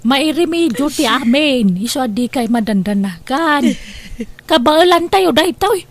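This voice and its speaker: A caring young daughter in an audio drama, with a very high voice and slow pacing. She sounds gentle and consoling, with an Ilocano Filipino accent. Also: lively intonation. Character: caring, resilient young daughter comforting her mother. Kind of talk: Ilocano radio drama acting.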